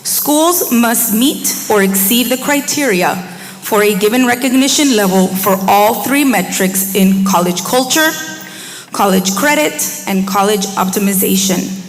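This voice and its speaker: A woman reads out a formal school recognition to a board, clearly and evenly. Her voice rings with a long hall reverb, and faint music plays underneath. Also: on the high side. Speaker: school academic strategist presenting an award. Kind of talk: formal presentation.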